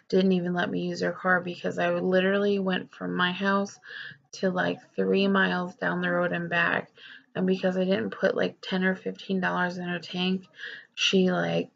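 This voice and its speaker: A woman's voice: fairly low pitch, vocal fry, fairly bright tone, lo-fi sound. A young woman talking candidly in a personal vlog, rambling with lots of fillers and swearing, her voice nasal and stuffed up from a cold. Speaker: vlogger.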